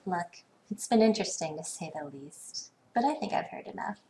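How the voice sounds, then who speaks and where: A woman acting a savvy, poised businesswoman in a video game, speaking in a dry, sardonic, measured way. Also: lowish voice, expressive, mellow, a little hiss or hum, close to an everyday voice. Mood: cool, sardonic.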